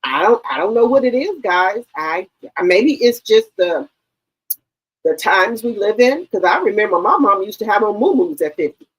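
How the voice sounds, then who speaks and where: A husky-voiced woman in her fifties hosts a gossip livestream, talking straight to her viewers in a chatty, familiar way. Her pitch swings widely as she talks. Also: big pitch swings, normal speed, low-quality recording. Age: 50s. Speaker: livestream host.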